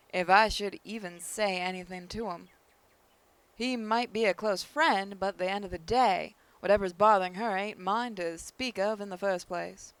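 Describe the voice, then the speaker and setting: A woman reading visual novel narration aloud in a measured storytelling manner, with an occasional stumble. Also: mid-range voice, clean tone, dry recording. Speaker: narrator, video game player reading aloud.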